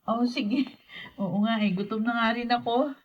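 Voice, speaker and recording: Actress as gentle, high-voiced Filipina eldest sister. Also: female voice, normal speed, dull audio, natural voice. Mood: gentle, concerned. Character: dutiful, caring eldest sister doing the chores. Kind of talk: Filipino podcast radio drama acting.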